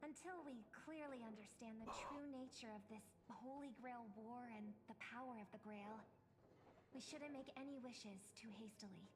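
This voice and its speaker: An actress playing an earnest, composed young woman leader in a video game, speaking in a measured, sincere way. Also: a little high, long reverb tail, lightly acted, rough recording. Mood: calm, resolute. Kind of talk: scripted video game voice acting.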